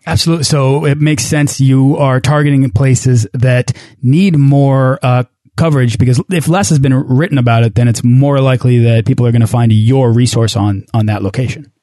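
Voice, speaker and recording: A man's voice: mid-range voice, steady tone, normal speed, dark tone, no room sound, studio quality. A man hosting an interview, encouraging his guests and asking questions with hedging fillers. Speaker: host. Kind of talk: podcast interview.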